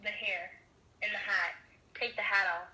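Bright, sassy girl on video call. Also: medium pitch, steady tone, moderate pace. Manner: sassy, blunt teasing. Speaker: stranger on video chat. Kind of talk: casual teasing chat. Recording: echoey video call audio.

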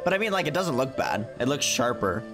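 Male game streamer, medium pitch, laid-back and slangy, close mic, game music underneath. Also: sing-song, brisk. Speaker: game livestreamer. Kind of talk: casual livestream commentary.

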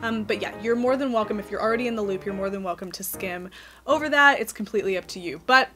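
A woman's voice: medium pitch, quick pace, pushed and bright. A woman in her thirties hosting a knitting podcast, chatting casually to the camera at home, a little nasal from a cold, with lots of ums and light laughs. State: has a cold, slightly nasal. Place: cozy home office with string lights.

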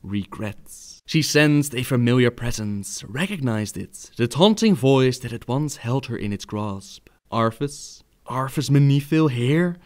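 A man telling fantasy game lore as dramatic narration, theatrical and emphatic, with pauses for effect. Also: mid-range voice, expressive, darkish tone.

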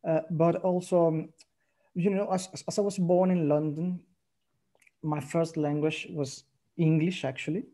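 A man's voice: fairly high pitch, fairly even intonation, measured pace, mellow. A young man, speaking as a panelist on a video call, thoughtful and hesitant with restarts. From Venezuela. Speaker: panelist writer. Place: home room, indoors. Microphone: video call, earphone mic.

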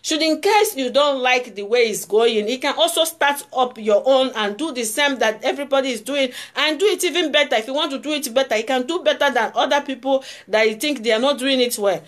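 Brief: Igbo-accented Nigerian woman, 40s, phone vlog, insistent repetition, slightly high voice. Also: brisk, hardly any pauses. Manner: emphatic, repeats phrases, gestures while talking. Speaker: vlogger. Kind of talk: casual political vlog monologue. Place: indoor room before hung flags. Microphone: phone camera close up.

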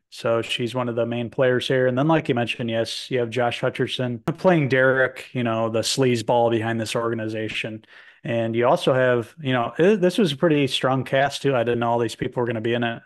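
Meandering man reviewing a film. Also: mid-range voice. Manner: meandering, many fillers and restarts. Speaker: movie review co-host. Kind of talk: casual film review chat. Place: home room with posters indoors. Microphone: video call webcam.

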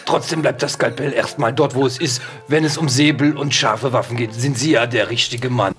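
A man plays a gloomy French surgeon in a role-play audio drama. He talks with formal, pompous airs at a middling pitch. Soft music runs underneath. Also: moderate pace, natural voice.

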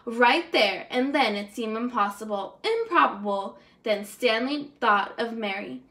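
High-voiced young woman reading a storybook. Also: unhurried. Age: young adult. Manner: expressive, with stumbles over words. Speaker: teacher reading aloud to first graders. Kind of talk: storybook read-aloud. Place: indoors, small room at home.

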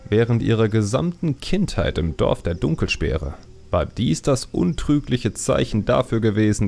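A man reading a fantasy audiobook as narrator in a measured, deliberate, dramatic storytelling style. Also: lowish voice, gravelly, a little mellow.

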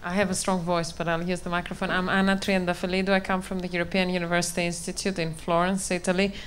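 A woman's voice: lowish voice, little pitch movement, fast, a little hiss or hum. A woman researcher introducing her work at a conference, speaking steadily and fluently into a handheld microphone in a large hall. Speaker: university researcher.

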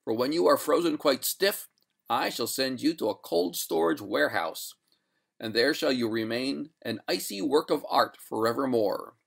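A narrator playing a firm, coolly courteous gentleman in a storybook, formal and measured, with a quiet gloat. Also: mid-range voice, creaky.

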